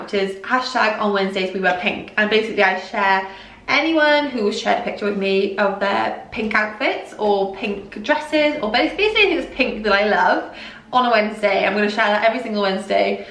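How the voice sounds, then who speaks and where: A woman in her thirties from Wales with a slight Welsh accent, chatting brightly to camera about things she has bought, with lots of rambling asides. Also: mid-range voice, creaky, far from the mic. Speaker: fashion vlogger. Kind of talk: casual haul chat to camera. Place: small bright room indoors, in front of a door. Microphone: camera a few feet away. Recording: roomy sound, mic set back.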